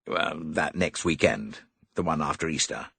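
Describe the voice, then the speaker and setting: A man voicing a stiff, irritable gentleman who answers grudgingly. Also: a bass voice, expressive, measured pace, vocal fry, slightly rough, dry recording, heavily acted. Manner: stiff and irritable, with hesitant ums.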